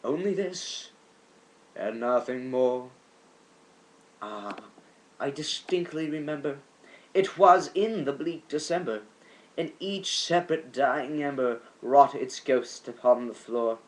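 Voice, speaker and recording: A man with a fairly high voice reads poetry as an amateur performer. His delivery is slow and theatrical, with drawn-out words. He shifts between hushed tones and loud, emphatic ones. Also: lively intonation, rough recording. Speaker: amateur reader of a poem. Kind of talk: dramatic poetry reading.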